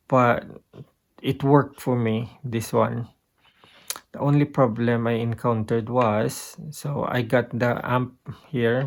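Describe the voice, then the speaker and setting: A man with a Filipino accent giving a casual, unscripted guitar gear demonstration, haltingly thinking aloud as he goes. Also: medium pitch, creaky, a little mellow. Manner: halting, thinking aloud while pointing out settings.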